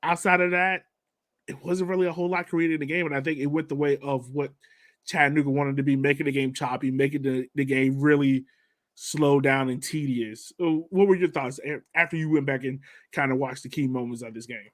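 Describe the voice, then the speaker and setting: A man's voice: mid-range voice, steady tone, fairly quick, no room sound, studio quality. A man hosting a casual sports podcast, chatting in a slangy, jokey way. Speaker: podcast host.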